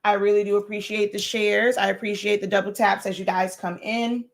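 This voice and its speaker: A woman hosting a casual livestream chat, hoarse from losing her voice, greeting viewers with lots of laughs and fillers. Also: medium pitch, flat delivery, brisk. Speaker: host.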